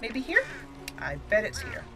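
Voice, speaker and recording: A woman's voice: a little high, huge pitch swings, unhurried. A woman hosting a let's play speaks casually and playfully into a close mic. She mutters to herself, amused, and at times reads out in character, with faint game music behind her. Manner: playful, amused, talks to self. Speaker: gaming channel host.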